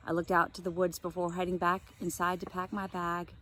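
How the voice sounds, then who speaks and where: A woman's voice: on the low side, level tone, fairly quick, fairly bright tone. A woman teacher expressively reading a children's novel aloud, adding casual asides for her listeners.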